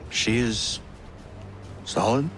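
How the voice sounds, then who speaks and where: An actor playing an earnest young warrior apprentice, speaking in a measured, formal and solemn way for a video game. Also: male voice, mid-range voice, over music. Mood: curious. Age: young adult. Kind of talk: video game dramatic narration and dialogue.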